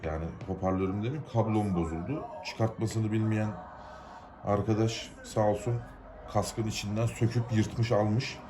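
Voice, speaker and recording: A man's voice: fairly low pitch, moderate pace, noisy background. A man from Turkey gives an informal, unscripted how-to, explaining step by step in plain, colloquial words. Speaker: hobbyist giving a DIY repair tutorial.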